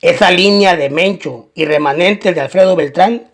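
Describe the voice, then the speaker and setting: A man's voice: high-pitched, moderate pace. A man reading a scripted documentary-style crime narration.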